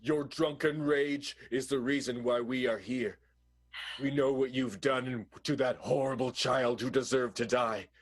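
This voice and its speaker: A man's voice: mid-range voice, steady tone, a little slow, close to an everyday voice. A man playing a grave, ruthless schemer in an improvised comic radio soap, speaking with melodramatic, portentous flair. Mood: stern. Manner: dramatic, portentous. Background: plucked guitar music.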